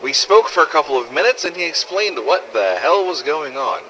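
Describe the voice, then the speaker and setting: A man's voice: fairly high pitch, very animated, normal speed, sharp tone, a little hiss or hum, pitched above the performer's own voice, rough recording. A man playing a weary, sarcastic survivor of a zombie outbreak, narrating first-person audio logs in a scripted game-story style. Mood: matter-of-fact. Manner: sardonic, exasperated, swearing.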